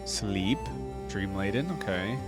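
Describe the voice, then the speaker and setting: A man's voice: sits low, takes it slowly. Casual man thinking aloud over music. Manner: informal, musing, thinking aloud. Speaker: reaction video host. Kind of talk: casual reaction commentary. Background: music from the videos being played.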